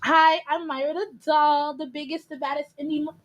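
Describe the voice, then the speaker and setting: Woman, high voice, brash, playful, swears freely, casual podcast chat. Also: flat delivery, fairly quick, highs cut off. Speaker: podcast guest.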